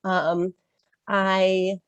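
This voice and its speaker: A woman's voice: mid-range voice, little pitch movement, very slow, clear, resonant tone, no room sound, highs cut off. A woman in her thirties from Chicago with an American accent, presenting an informational webinar while recovering from a cold, with frequent um fillers. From Chicago, USA. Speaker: study-abroad advisor, webinar presenter.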